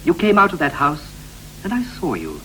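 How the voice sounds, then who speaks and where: An actor playing a wistful British husband recalling his boyhood in clipped, poetic verse with deliberate pauses, in the style of a 1960s radio play. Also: fairly high pitch, wildly swooping pitch, pushed and bright, heavily acted, rough recording. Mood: tender, haunted. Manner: incantatory short phrases, deliberate pauses. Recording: vintage 1960s radio recording with hum and hiss.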